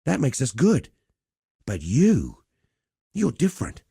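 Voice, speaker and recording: An actor playing a roguish, cheerful veteran pit fighter in a video game, talking in a colloquial, wry, swaggering storyteller's way. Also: male voice, medium pitch, huge pitch swings, slow, creaky, mellow, breathy edge, dry recording, clean studio sound, full character voice. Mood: earnest, admiring. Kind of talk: scripted game dialogue.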